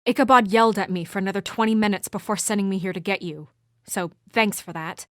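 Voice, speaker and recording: An actress as a serious young woman investigator in a noir fantasy game. She is restrained and businesslike, with a dry edge, in a medium-pitched voice. The recording is close and free of room sound. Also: quick pace, no room sound, lightly acted. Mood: irritated. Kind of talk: video game voice acting, naturalistic dramatic dialogue.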